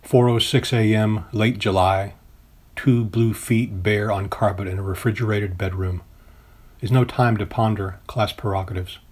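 Guy reading a poem, lowish rough husky voice, deliberate phrasing. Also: fairly even intonation, a little mellow. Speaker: poet reading own poem. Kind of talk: literary poetry reading, deliberate.

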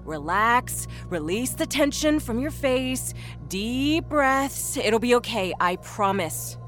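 A woman's voice: on the high side, lively intonation, normal speed, a bit bright, soft background music, pitched above the performer's own voice. A woman narrator voicing a harried, short-tempered nurse under strain, urgent and prone to stammering, in an audiobook. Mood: firm reassuring. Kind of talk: dramatic audiobook character acting.

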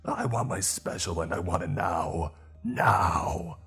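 A man's voice: low-pitched, big pitch swings, slow, a bit bright, slightly rough, soft background music, exaggerated voice. Man putting on a creepy, demanding madwoman customer. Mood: furious snarling. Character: demonic customer woman, eerily polite then screeching, rabid.

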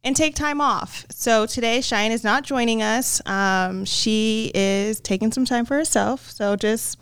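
A woman in her early thirties co-hosting a podcast, upbeat and quick to laugh. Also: on the high side, clean tone, dry recording. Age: 30s. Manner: warm-hearted, giggly, upbeat. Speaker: podcast co-host. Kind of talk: casual chat.

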